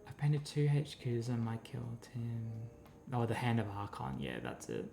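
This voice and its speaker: A man's voice: mid-range voice, very animated, moderate pace, gravelly, warm tone, soft background music. A man with a scratchy voice chatting casually with viewers while painting miniatures, rambling and answering their messages. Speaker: streamer.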